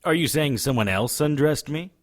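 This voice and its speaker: A man's voice: fairly high pitch, lively intonation, normal speed, dry recording, lightly acted. A man playing a battered, cocky supernatural investigator, narrating and talking with dry sardonic wit in a noir audio drama. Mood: teasing. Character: wisecracking hard-living male supernatural investigator, battered and cocky. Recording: music under the voice.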